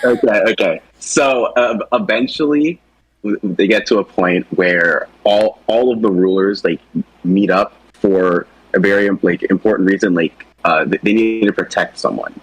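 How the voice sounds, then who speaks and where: A man's voice: lowish voice, normal speed, slightly rough, dry recording, rough recording. A man chatting casually as a co-host, talking through the characters of a series. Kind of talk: casual chat.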